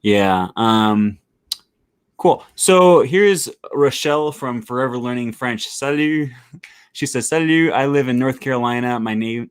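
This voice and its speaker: A man hosting a casual interview over a video call, relaxed and hesitant with frequent ums. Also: mid-range voice, clear, resonant tone. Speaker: interviewer host.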